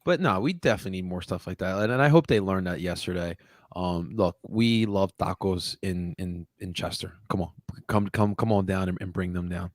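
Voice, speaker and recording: A man's voice: sits low, a little fast, no room sound, studio quality. A man hosting a sports podcast over a video call, chatting casually and hyping up the fans. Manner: excitable, hypes up fans. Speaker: podcast host.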